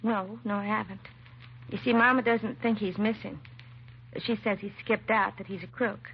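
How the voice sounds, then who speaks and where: An American actress playing a timid, self-doubting young secretary in a 1950s radio drama, hesitant and apologetic. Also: female voice, mid-range voice, fairly even intonation, normal speed, close to an everyday voice. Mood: timid, uneasy. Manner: hesitant, nervous, apologetic, trailing and restarting. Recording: muffled vintage radio recording, faint hiss.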